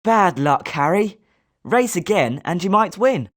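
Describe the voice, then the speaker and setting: A woman's voice: on the low side, lively intonation, a little slow. A woman with a British accent voicing a confident, sporting schoolboy wizard with a competitive streak in a video game. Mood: consoling. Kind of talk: scripted video game character lines.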